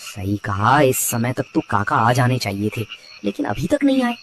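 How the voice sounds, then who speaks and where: A man's voice: medium pitch, huge pitch swings, normal speed, fairly bright tone, slight background noise. A man playing an easygoing, friendly village man in a cartoon story. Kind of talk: dubbed cartoon story dialogue.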